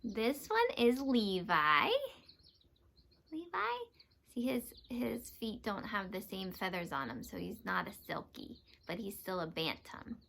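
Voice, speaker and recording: A woman's voice: medium pitch, very animated, measured pace, creaky, a bit bright. A woman teacher giving a read-aloud lesson to young children, explaining simply and asking them questions. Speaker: teacher reading aloud to students.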